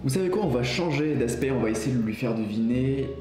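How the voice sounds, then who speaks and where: A man's voice: medium pitch, lively intonation, a little fast, dark tone, echoey room, soft background music. A young man giving casual gameplay commentary, reading each of the game's questions aloud and answering it himself. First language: French. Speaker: YouTuber playing an online guessing game.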